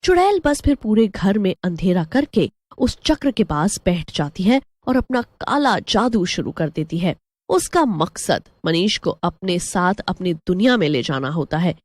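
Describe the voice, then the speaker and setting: A woman's voice: on the low side, big pitch swings, measured pace, no echo. A woman narrating a scripted horror story as a storyteller.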